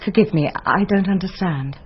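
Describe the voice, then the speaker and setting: Polite young bride, soft-edged husky voice. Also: female voice, lowish voice, moderate pace, highs cut off. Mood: polite, guarded. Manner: deferential, hesitant, proper. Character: earnest, polite, naive eighteen-year-old bride. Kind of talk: radio drama dialogue.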